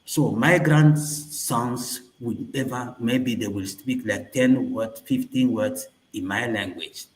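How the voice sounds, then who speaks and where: African male host, English, slow and emphatic, roomy echo. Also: mid-range voice. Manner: slow, emphatic, repeating questions. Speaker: panel host. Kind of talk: panel discussion. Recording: echoey room.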